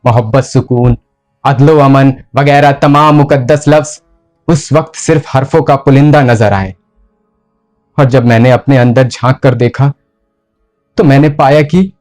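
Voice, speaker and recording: A male actor playing a ruthless, tormented medieval sultan in a slow, deliberate theatrical monologue with heavy pauses. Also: mid-range voice, darkish tone, natural voice. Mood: grim realisation. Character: brooding, ruthless, tormented medieval sultan confessing his murders. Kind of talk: dramatic theatrical Hindustani monologue.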